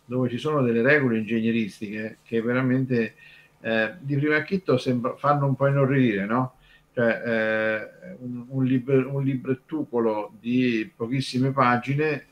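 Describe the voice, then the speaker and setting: A man from Terni explains things in an informal radio discussion, halting and discursive, stressing key words. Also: mid-range voice, highs cut off. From Terni, Italy.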